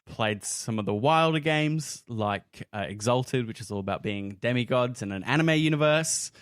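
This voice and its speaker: Male podcast host, mid-pitched, enthusiastic storyteller in relaxed discussion, very dry recording. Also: normal speed, smooth, dry recording. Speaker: podcast host and tabletop role-player. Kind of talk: casual discussion among friends.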